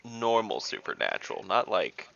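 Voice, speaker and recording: Male tabletop player, bright forceful edge, wry casual delivery, slightly muffled noisy mic. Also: fairly low pitch, very animated, moderate pace, gravelly. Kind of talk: casual online game chat. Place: online group voice call.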